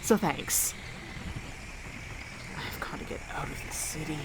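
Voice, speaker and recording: Bitter, sardonic young street survivor. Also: female voice, deep voice, slow pace. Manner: sarcastic, blunt, slangy. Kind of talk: radio drama monologue. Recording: clearly noisy, ambient background noise.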